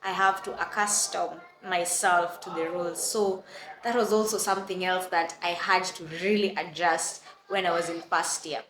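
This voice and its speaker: A young Kenyan woman with a Kenyan accent telling an animated storytime, exclaiming and acting out what others said. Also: lowish voice, normal speed, roomy sound, a little hiss or hum. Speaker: vlogger. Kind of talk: casual storytime.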